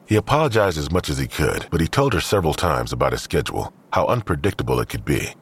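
A man's voice: extremely low pitch, sing-song, fast, gravelly, husky. A man reading scripted narration for an audio drama.

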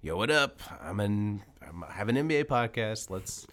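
A man's voice: lowish voice, lively intonation, moderate pace, fairly bright tone. A man co-hosting a comedy podcast, chatting casually and joking. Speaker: podcast co-host. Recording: music behind the voice.

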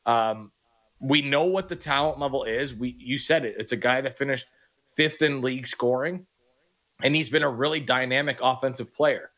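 A man co-hosting a sports talk radio show, breaking down the team in a measured, analytical way. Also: medium pitch, fairly bright tone.

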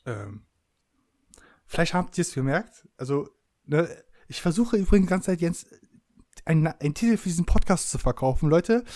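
A man's voice: on the high side, sing-song, normal speed, darkish tone, no echo, clean studio sound. A man hosting a podcast, joking and playful in casual chat. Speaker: podcast host.

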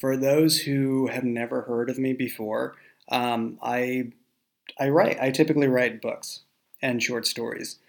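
Gravelly man chatting as a podcast creator. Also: mid-range voice. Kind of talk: casual behind-the-scenes chat.

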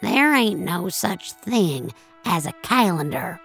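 A woman's voice: lowish voice, big pitch swings, slow, gravelly, a bit bright, soft background music, exaggerated voice. A woman voicing a blunt, gloomy old country grandmother in an audio story. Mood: musing, emphatic. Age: older. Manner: folksy, blunt, fatalistic musing.

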